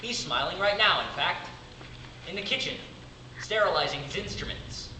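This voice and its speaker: A man playing a weary, devoted big brother in a theatrical stage monologue, speaking deliberately with dramatic pauses. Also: high-pitched, expressive. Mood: uneasy, reflective. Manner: deliberate, dramatic pauses between short phrases. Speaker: amateur drama club actor. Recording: distant mic, echoey room, clearly noisy.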